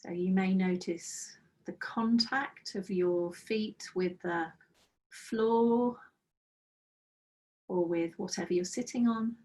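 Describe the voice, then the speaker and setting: A middle-aged woman leading a guided mindfulness practice over a video call, speaking slowly and soothingly with gentle pauses, her voice slightly croaky. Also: mid-range voice, far from the mic, low-quality recording. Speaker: mindfulness trainer. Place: small office with bookshelves, indoors. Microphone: laptop webcam on a video call.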